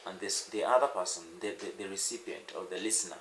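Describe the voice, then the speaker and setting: Swahili-speaking man teaching in an echoey room. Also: sits low. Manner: unscripted, repeats words and pauses with many "uh"s. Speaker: language teacher. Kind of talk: casual spoken lesson to camera. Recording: echoey room with faint noise.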